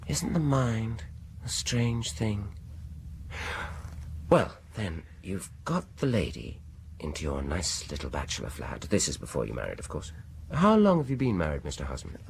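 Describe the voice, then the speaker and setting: Coaxing dentist, British, low voice, swooping intonation, theatrical, slipping into near-whispers, horror radio play. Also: male voice, huge pitch swings, creaky, a little hiss or hum, full character voice. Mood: reassuring, probing. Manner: persuasive, deliberate, theatrical, dropping into near-whispers. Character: smooth-talking, insistent male dentist coaxing a frightened patient. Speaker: actor in a radio drama. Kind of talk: radio horror drama acting. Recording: radio drama with music behind.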